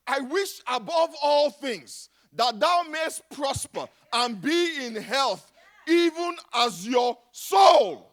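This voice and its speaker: A middle-aged Nigerian man preaching a sermon, dropping to a hush and then rising to loud exclamations. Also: very high voice. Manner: dramatic, swings from hushed to shouting. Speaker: pastor.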